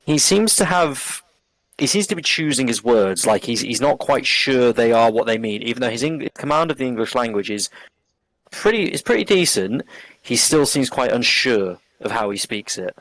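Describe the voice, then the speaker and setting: A man describing his character in a tabletop game in a calm, measured way. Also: mid-range voice, dry recording, rough recording. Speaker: player.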